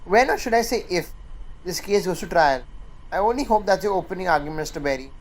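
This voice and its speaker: Smug company lawyer young performer acting, medium pitch, measured, mocking, radio drama. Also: lively intonation. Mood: mocking, confident. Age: young adult. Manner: measured, sardonic. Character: smug, condescending male corporate lawyer.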